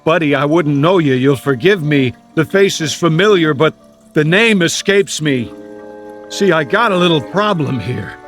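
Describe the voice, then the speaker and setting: An actor playing a lonely, distressed Air Force man with no memory, rambling to himself haltingly and wryly in a radio drama. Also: a little high, animated, fairly bright tone, soft background music. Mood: weary, rueful. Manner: rambling to himself, halting, wry joking turning agitated.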